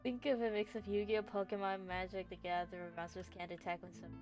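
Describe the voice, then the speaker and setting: A woman's voice: mid-range voice, little pitch movement, quick pace, a little mellow. A woman livestreaming a game, chatting casually and playfully with viewers and reacting as she plays. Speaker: game streamer. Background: faint background music.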